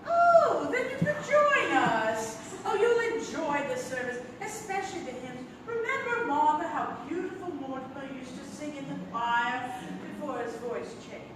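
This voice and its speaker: An actress playing a prim, sweetly stubborn elderly aunt in a theatrical stage comedy. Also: female voice, high voice, very animated, normal speed, few pauses. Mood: sweet, chirpy. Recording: echoey, noisy live theater from far off.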